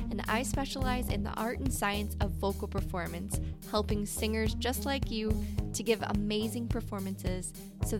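Upbeat woman podcast host. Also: medium pitch. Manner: upbeat, enthusiastic. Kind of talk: scripted podcast intro and interview.

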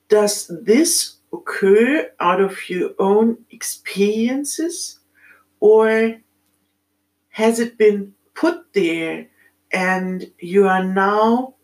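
A middle-aged woman with a German accent, speaking in a reflective, halting solo monologue as a podcast host, with many pauses. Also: medium pitch, darkish tone, poor audio.

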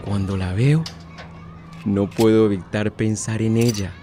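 A man's voice: fairly low pitch, steady tone, measured pace, warm tone, a little hiss or hum, close to an everyday voice. An actor playing a troubled, compassionate policeman and father in a radio drama. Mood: troubled, reflective.

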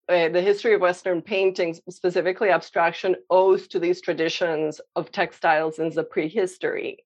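A woman's voice: lowish voice, lo-fi sound. A young adult woman from Colombia, a painter answering interview questions in a thoughtful way, with many fillers and restarts. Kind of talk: reflective conversational interview.

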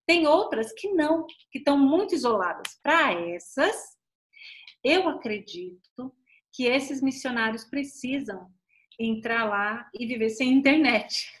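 A woman's voice: high voice, lively intonation, measured pace. A middle-aged woman with a Brazilian accent speaking as a missionary guest in a casual interview, explaining things and often checking with short tag questions.

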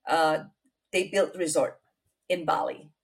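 A middle-aged woman from Indonesia with an Indonesian accent, telling her life story as an interview guest, unhurried and full of fillers. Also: sits low, fairly even intonation. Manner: unhurried, many fillers and restarts, non-native grammar.

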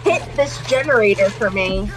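A woman's voice: mid-range voice, moderate pace, over music. A woman co-hosting a casual, playful movie-reaction chat. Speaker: podcast co-host.

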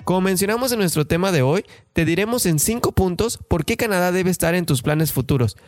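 A man's voice: high-pitched, expressive, a little fast. A man co-hosts a casual podcast. He explains things in a friendly, chatty way. Faint music plays under his voice. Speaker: podcast co-host.

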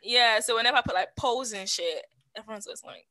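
A woman, co-hosting a podcast in casual, slangy chat full of animated storytelling and quoted asides. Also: fairly high pitch, fast talker, dry recording. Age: 20s. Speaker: podcast co-host.

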